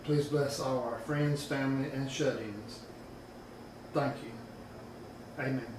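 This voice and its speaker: A man offering an earnest, measured spoken prayer. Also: medium pitch, fairly even intonation. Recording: very echoey, distant, noisy.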